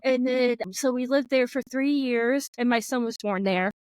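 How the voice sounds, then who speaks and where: A woman's voice: a little high, moderate pace, clear, resonant tone, no room sound. A woman from Florida with a Floridian American accent, hosting a casual podcast chat and filling her talk with "like" and "yeah.". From Florida, United States. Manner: chatty, lots of "like" and "yeah". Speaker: podcast host. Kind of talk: casual conversation.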